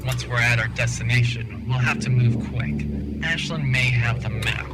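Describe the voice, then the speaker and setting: A man playing a cunning, condescending schemer in a sci-fi audio drama, speaking in a calculating, deliberate manner. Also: medium pitch, loud music behind the voice, lightly acted. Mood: calculating, smug. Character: cunning, condescending male schemer plotting betrayal.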